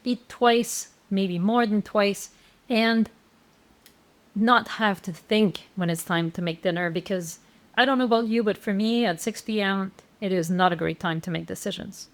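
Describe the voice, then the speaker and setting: A woman's voice: mid-range voice, measured pace. A woman walking through meal plans as an instructor, in a casual, conversational manner with frequent fillers and restarts. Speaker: plant-based meal planning instructor. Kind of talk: casual explanatory walkthrough.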